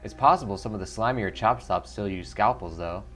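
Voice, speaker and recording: Man doing a dry, measured dwarf coroner. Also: on the low side, fairly bright tone, faint music behind, close to an everyday voice. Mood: matter-of-fact. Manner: measured, matter-of-fact explaining. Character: dry, wry dwarf coroner, principled and solitary.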